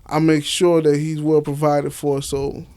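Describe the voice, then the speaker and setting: A man's voice: fairly high pitch, flat delivery, unhurried, a little mellow, smooth, no room sound. An American man being interviewed, chatting casually and emphatically in slang, peppering his talk with "you know" and "man". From Miami, Florida, United States. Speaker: interview guest, author and stage play director.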